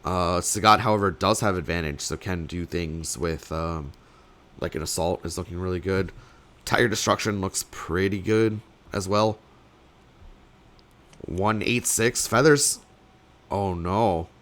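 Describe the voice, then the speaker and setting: A young man, slightly sick and losing his voice, casting a card game tournament alone in rambling play-by-play full of fillers, with sudden bursts of excitement. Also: low voice, unhurried, pauses often. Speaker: tournament caster. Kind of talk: solo play-by-play commentary.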